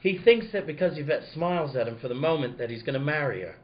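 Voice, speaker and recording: A man playing a jealous young gentleman in a live comic radio play, hesitant and grumbling. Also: a little high, animated, fast, natural voice. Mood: irritated. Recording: echoey dull live recording, faint noise.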